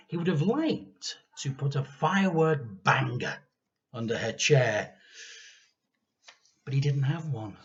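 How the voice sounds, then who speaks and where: A man reading a children's story aloud expressively as a teacher, with dramatic emphasis and deliberate pauses. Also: medium pitch, fairly bright tone, lo-fi sound.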